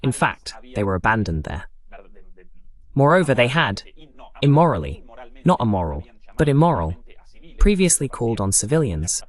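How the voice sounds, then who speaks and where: A male commentator delivers a political monologue in a medium-pitched voice. His intonation is very lively, rising and falling often as he explains his points emphatically, using lists and rhetorical questions. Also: moderate pace.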